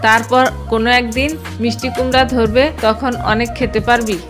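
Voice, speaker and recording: A woman's voice: fairly high pitch, level tone, moderate pace, over music, close to an everyday voice. A woman voicing a cheeky, scheming young animal girl in a cartoon, bold and persuasive.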